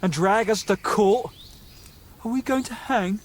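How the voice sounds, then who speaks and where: An actor playing a nervous, gullible young medieval bandit in a game, with a hesitant, stammering, excitable way of talking. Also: male voice, high-pitched, a little slow, a little hiss or hum. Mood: agitated, panicked. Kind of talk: video game dialogue acting.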